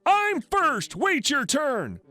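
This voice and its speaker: A man voicing a furious, entitled, bossy woman who shouts demands indignantly in a narrated story. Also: high-pitched, sing-song, normal speed, pitched above the performer's own voice, exaggerated voice. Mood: screaming rage.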